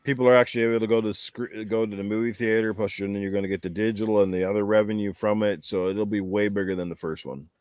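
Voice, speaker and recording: A man's voice: fairly low pitch, steady tone, quick pace, hardly any pauses, darkish tone, smooth, no room sound. A man hosting a casual panel chat, giving rambling opinions with emphatic repetition. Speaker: podcast panel host. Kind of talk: casual opinion chat about games and films.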